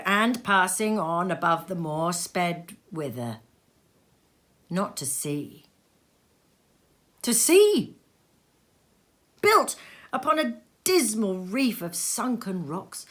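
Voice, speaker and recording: A woman reading literary fiction aloud as a storyteller, with an expressive, theatrical delivery that moves between hushed and emphatic. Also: on the low side. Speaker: storybook reader. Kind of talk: dramatic literary narration, scripted. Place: sitting room at home.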